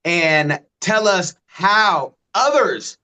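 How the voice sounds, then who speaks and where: A man's voice: sits high, lively intonation, drawn-out words, pushed and bright. A man hosting a business podcast, introducing his guest in an enthusiastic, informal way. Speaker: podcast host. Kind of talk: casual interview hosting.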